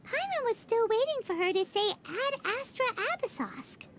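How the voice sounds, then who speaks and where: A woman voicing a tiny, chatty, excitable female companion who talks about herself in the third person, in a game cutscene. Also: very high voice, normal speed, noisy background, full character voice. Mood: chatty, curious. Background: game music.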